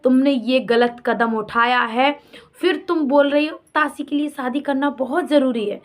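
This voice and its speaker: A woman's voice: sits high, normal speed. A woman vlogger giving an unscripted, opinionated rant, indignant and scolding, pressing her points with rhetorical questions and repetition. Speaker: vlogger commenting on a personal drama. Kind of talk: unscripted opinionated rant addressed to absent people.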